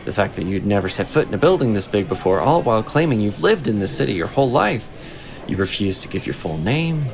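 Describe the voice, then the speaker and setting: An actor playing a shrewd, composed male inquisitor in a fantasy audio drama, speaking in a measured, persuasive way. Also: fairly low pitch, creaky. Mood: shrewd, probing.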